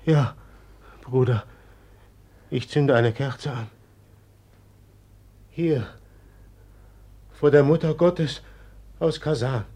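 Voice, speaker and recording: A man's voice: mid-range voice, extremely slow, pauses often, mellow. An actor in a 1950s radio drama playing a brooding, haunted young man who speaks fearfully and in whispers. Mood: anxious. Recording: old 1950s mono radio recording.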